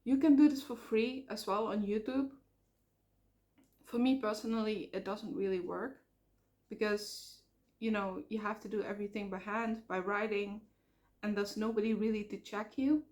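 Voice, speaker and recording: A woman with a Dutch accent talks straight to her viewers in a loose, personal vlog. Her voice sits at an everyday middle pitch. She speaks earnestly and rambles a little, filling gaps with "um" and catching her own slips. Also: steady tone, moderate pace, a little mellow. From Netherlands. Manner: earnest, rambling with fillers and self-corrections. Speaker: vlogger. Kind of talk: casual personal vlog talk.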